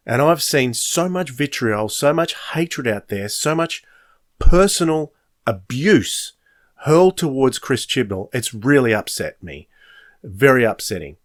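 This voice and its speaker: A man hosting a podcast, giving an opinionated monologue in an earnest, emphatic way, stressing points by repetition. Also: medium pitch. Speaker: podcast host.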